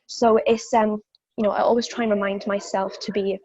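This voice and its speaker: A woman's voice: mid-range voice, level tone, a little fast, a little mellow, no echo, lo-fi sound. A young woman from South Wales with a Welsh accent, answering interview questions as a fitness instructor, thoughtful and full of fillers and restarts.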